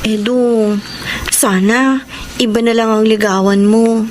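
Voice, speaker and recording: A woman's voice: on the high side, fairly even intonation, slow pace, a little mellow, slight background noise. A woman acting a hopeful, trusting young housemaid in a radio drama, with a Filipino accent and emotive delivery. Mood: apologetic, defensive.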